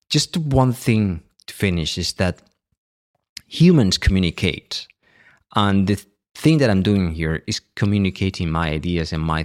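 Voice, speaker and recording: A middle-aged man with a Catalan accent, speaking English as a second language in a halting solo monologue with many restarts and fillers. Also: low voice, a little mellow. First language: Catalan and Spanish. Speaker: podcast host.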